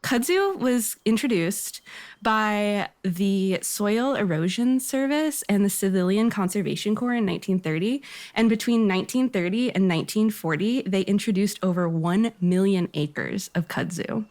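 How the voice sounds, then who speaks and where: A woman's voice: medium pitch, expressive, normal speed, a little mellow. A woman talking casually and with effusive enthusiasm as a guest on a show. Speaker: podcast guest. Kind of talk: casual excited chat.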